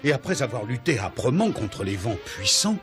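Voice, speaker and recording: A man's voice: medium pitch, big pitch swings, moderate pace, natural voice. A man narrating a fairy tale cartoon as a scripted storyteller. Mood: solemn storytelling. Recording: music behind the voice.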